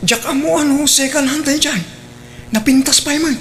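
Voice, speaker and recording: A man's voice: extremely high pitch, moderate pace, a bit bright, a little hiss or hum. A man playing a fussy, grudging and nosy husband in a querulous way, in a radio drama. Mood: envious, nosy.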